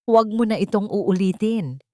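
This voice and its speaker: A woman with a low voice playing a tender, protective young man, pleading earnestly in an audio love story. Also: animated, moderate pace, dark tone, no echo, studio quality, lightly acted.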